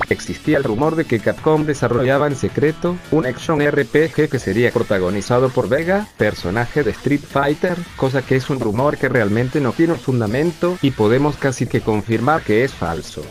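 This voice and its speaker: A man with a mid-range voice narrates a rumor in a matter-of-fact way. Music is clearly audible behind him. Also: medium pitch, lively intonation, moderate pace, hardly any pauses. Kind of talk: scripted narration.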